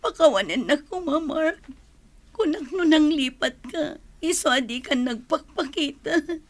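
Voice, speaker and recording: A woman's voice: high-pitched, animated, unhurried. A woman playing an earnest, hurt young wife in a radio drama. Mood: grateful, apologetic.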